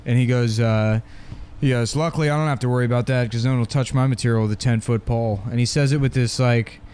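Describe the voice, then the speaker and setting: A young male comedian tosses out crude, blunt jokes in loose podcast banter. His voice is a plain middle pitch, relaxed and offhand. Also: fast talker, a little hiss or hum. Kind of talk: casual comedy podcast chat.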